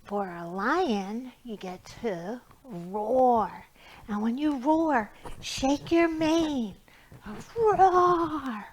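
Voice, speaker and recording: Slow-paced, higher-voiced woman hosting children's storytime. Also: slight background noise, low-quality recording. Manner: simple, encouraging explanations addressed to young children. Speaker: library storytime host. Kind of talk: children's storytime presentation with read-aloud and singing.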